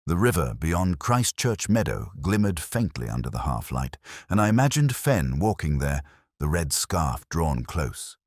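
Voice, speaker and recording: A man with an extremely low voice narrates a scripted detective story. His delivery is measured and solemn, with a literary turn and a pitch that moves expressively. The sound is close and dry. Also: sing-song, dry recording.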